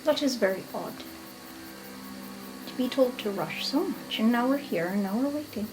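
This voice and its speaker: A woman's voice: a little high, animated, normal speed, music in the background. A woman playing a tabletop game, improvising roleplay as a player.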